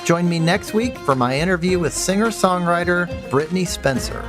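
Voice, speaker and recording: A man hosting a podcast, asking questions politely and reading the show's credits at a steady pace. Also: sits high, normal speed, music in the background. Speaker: podcast host and magazine editor. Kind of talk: interview and scripted outro.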